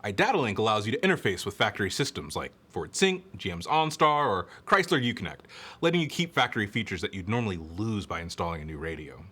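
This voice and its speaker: A man's voice: lowish voice, sing-song, fast talker, sharp tone, slightly rough. A man presenting a scripted product review, explaining features in a conversational way and speaking straight to the viewer. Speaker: product reviewer and presenter for a car audio retailer.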